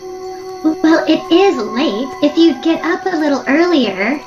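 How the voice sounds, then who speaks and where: A woman's voice: sits high, animated, slow pace, music in the background. A woman playing an earnest, easily spooked sister who chides and scolds in an audio drama. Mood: chiding. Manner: chiding. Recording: dull lo-fi audio, faint noise.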